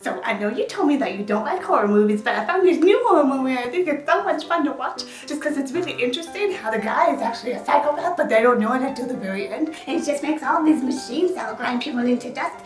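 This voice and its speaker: A woman putting on a high-pitched childish baby voice with pursed lips, gushing and sing-song, in an echoey room with faint music. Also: expressive, quick pace, hardly any pauses, far from the mic. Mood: gushing excited.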